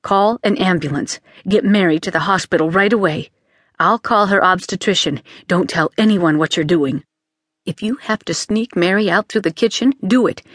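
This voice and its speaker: A woman's voice: on the low side, normal speed, dry recording, studio quality, close to an everyday voice. A woman voicing a worried, protective sister in an audiobook, speaking in an urgent, imperious, clipped way. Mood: firm, commanding.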